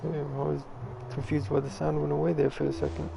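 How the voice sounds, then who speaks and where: Gravelly, flat-toned man commenting on a game. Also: a little high, fast talker, background noise. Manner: musing to himself. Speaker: game streamer. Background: game music.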